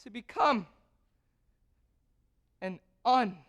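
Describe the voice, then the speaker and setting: A woman playing an excitable young male composer on stage, stammering and giggly in theatrical bursts. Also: fairly high pitch, takes it slowly, dull audio. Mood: rapt, building.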